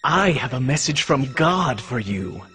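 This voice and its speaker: A guarded man of ancient Israel in a scripture audio drama, played by an actor. He speaks at medium pitch, measured and secretive. Clear music runs behind the voice. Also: very animated, bright, forceful tone. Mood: secretive, grave. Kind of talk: dramatized audio Bible acting.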